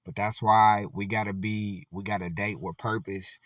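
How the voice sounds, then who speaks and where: Man, low voice, dry slightly distant mic, slangy dating commentary, animated. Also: normal speed, dry recording. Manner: animated, slangy, drops into a deep resonant voice at times. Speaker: commentator reacting to a clip.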